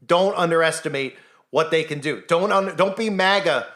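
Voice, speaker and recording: This is a man on a commentary monologue, his voice sitting a little higher than most men's. He talks insistently and rhetorically, stacking up questions and "right?" to press his point. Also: normal speed, fairly bright tone. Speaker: commentary channel host.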